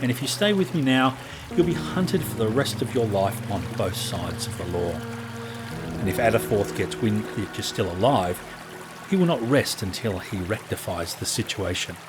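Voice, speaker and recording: A man's voice: fairly low pitch, sing-song, moderate pace, over music. A man acting a protective, seasoned gang leader with an earnest, reassuring manner in a fantasy audio drama. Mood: warning.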